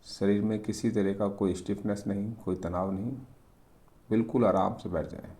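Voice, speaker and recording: A man leading a guided meditation, speaking calmly and gently in short phrases with pauses as he gives instructions. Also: lowish voice.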